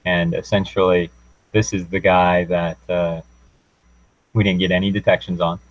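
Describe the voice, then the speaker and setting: Very low-voiced man, presenting in flat tones. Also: normal speed, poor audio. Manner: hesitant, informal, unscripted. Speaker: student presenting a class project. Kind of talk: technical presentation, walking through a demo.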